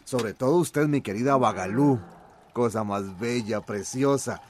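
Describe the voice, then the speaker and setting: Slow-talking doting farmer, played by a man. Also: mid-range voice, a little mellow, close to an everyday voice. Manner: doting, grumbling, sighing to himself. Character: affectionate weary farmer doting on his cows. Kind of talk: radio drama acting. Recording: clearly noisy farm soundscape with cattle.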